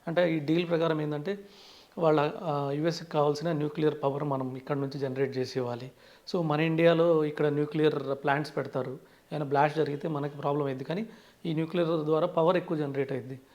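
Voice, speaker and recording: A man's voice: fairly high pitch, fairly even intonation, fast, a little mellow, highs cut off. A man with a Telugu accent speaking as a finance expert in an interview, explaining things with English terms mixed in and often starting sentences with "so". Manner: frequently opens sentences with "so".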